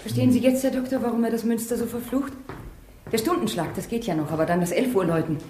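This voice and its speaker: Anxious, self-absorbed wife played by a woman, mellow and dramatic, 1950s radio drama, slightly noisy old recording. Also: on the high side, fast talker, echoey room, natural voice. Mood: reverent, regretful. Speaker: actor in a radio play.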